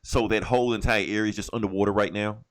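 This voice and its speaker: A man from the United States with a fairly deep voice hosts a casual podcast. He tells stories conversationally, with plenty of joking and swearing. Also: steady tone, quick pace. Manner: profane, joking storytelling. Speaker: comic book podcast host.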